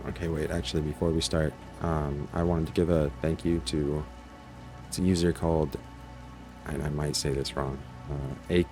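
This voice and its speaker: A man giving a casual, offhand introduction as the creator of an online channel. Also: very deep voice. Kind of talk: casual, informal video intro. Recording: faint music and rain sounds behind.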